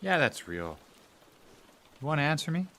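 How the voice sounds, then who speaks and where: A man's voice: a little high, big pitch swings, normal speed, sharp tone. A man playing a bitter, overworked family man with a sardonic manner in an audio drama. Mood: angry, sarcastic. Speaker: actor. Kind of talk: audio drama acting, dialogue and narration to the audience.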